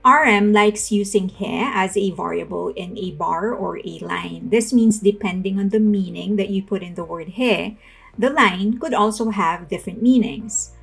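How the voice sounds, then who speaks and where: A young woman hosting a commentary channel, speaking casually to camera and then reading a scripted voice-over analysis in an even, explanatory way. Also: medium pitch, normal speed, creaky, breathy edge, soft background music. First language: not Korean. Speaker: video essay host. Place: home room with plush toys.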